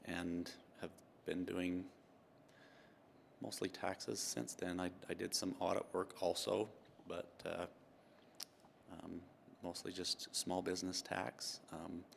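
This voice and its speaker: Low gravelly man, dry humor. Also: flat delivery, takes it slowly, pauses often, slight background noise. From Utah. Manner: dry self-deprecating humor, many ums and restarts. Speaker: tax partner and guest. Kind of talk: relaxed interview answers.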